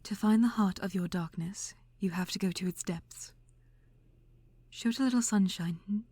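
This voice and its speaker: A woman's voice: mid-range voice, warm tone, slightly rough, no room sound, natural voice. A woman playing a teasing, mysterious mentor. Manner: measured, teasing, drops to whispers. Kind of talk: fan audio drama acting.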